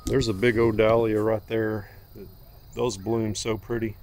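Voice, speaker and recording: A man's voice: fairly low pitch, little pitch movement, measured pace, a little mellow. A man giving a casual, unscripted garden tour outdoors as a homestead vlogger, in a folksy, plainspoken way. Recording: outdoor recording with faint ambient noise.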